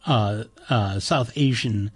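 Husky, chatty male radio host. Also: lowish voice, slow pace. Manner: rambling, chatty and enthusiastic. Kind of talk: casual on-air radio chat with a pledge-drive pitch. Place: radio studio.

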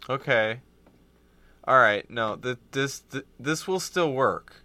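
A man taking part in casual live radio chat, speaking in a halting, earnest, mild-mannered way. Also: mid-range voice, sharp tone. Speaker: radio show participant.

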